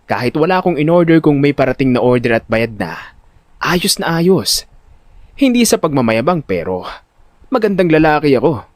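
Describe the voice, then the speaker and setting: Audio drama lead: male actor as jittery young Filipino guy, slightly high voice, very lively pitch, expressive. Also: normal speed, long pauses, no room sound. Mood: storytelling. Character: jittery, vain, good-natured young everyman telling his story. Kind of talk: audio drama storytelling in first person. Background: music.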